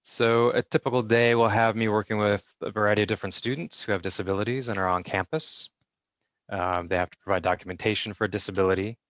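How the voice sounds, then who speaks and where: A man working as a sign language interpreter, voicing a deaf guest's answers in the first person in a measured way, with frequent fillers and restarts, heard over a video call. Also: fairly low pitch, steady tone, fairly bright tone, no echo, dull audio.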